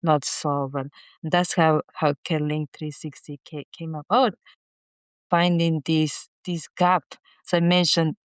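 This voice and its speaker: Woman, halting and restarting. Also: low-pitched, flat delivery, clean tone, dry recording. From Chile. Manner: halting, many restarts and repeated words. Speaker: guest, inventor. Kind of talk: casual interview talk.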